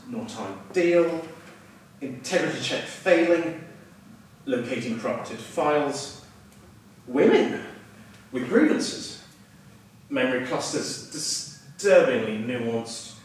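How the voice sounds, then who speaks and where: A man playing an officious simulator computer system in a staged reading, with a measured, deadpan announcing manner. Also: medium pitch. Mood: flat, officious. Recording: echoey room, distant mic, background noise.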